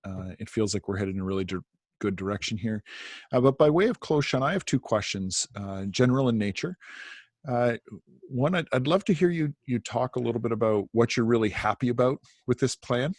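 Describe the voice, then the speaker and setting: A man's voice: medium pitch, expressive, a little fast, darkish tone, no echo, studio quality. A man hosting a video call interview, speaking with many filler sounds. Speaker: interview host.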